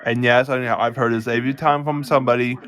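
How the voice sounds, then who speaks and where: A man talking casually to camera in a halting, rambling way, often restating himself as he explains things. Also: medium pitch, fairly even intonation, a bit bright. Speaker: self-described police department chief recording a vlog. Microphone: phone.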